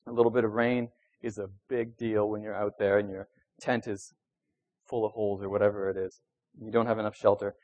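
Man preaching in a relaxed, chatty way. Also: on the low side, muffled recording. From Venezuela. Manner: conversational preaching with fillers, reads scripture with rising emphasis. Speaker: pastor. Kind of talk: sermon.

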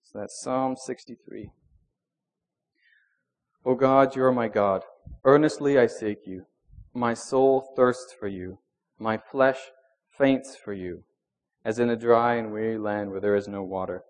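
A man's voice: medium pitch, fairly even intonation, a little slow, pauses often. A young man who grew up in Venezuela, preaching a sermon in a conversational way with ums and uhs, reading scripture with extra emphasis. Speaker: pastor.

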